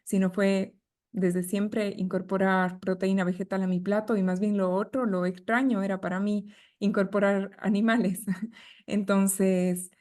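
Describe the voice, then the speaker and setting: A woman in her thirties with an Ecuadorian accent, a guest nutritionist speaking reflectively and gently, with hesitant repetitions. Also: medium pitch, level tone, unhurried. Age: 30s. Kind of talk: conversational podcast interview.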